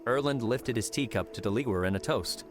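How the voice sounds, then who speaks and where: A man's voice: medium pitch, brisk. A man narrating a fiction audiobook, reading scripted story prose. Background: music.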